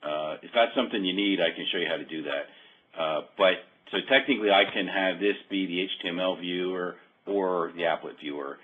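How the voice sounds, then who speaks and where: A man giving an informal software walkthrough, explaining in a halting, hesitant way. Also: sits low, slightly rough. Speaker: software demonstrator. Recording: dull phone-like conference-call audio.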